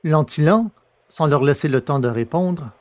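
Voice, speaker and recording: A man's voice: fairly high pitch, normal speed. A man presenting a podcast and narrating a science fiction serial in carefully phrased, literary read speech. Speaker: podcast host and audiobook narrator.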